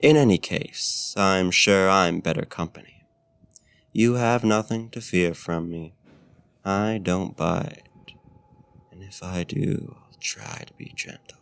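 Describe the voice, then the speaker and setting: Male actor as seductive vampire host, very slow, creaky voice, formal archaic courtesy, gentle menace, intimate ASMR roleplay. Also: full character voice. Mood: coaxing, menacing. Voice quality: low, gravelly.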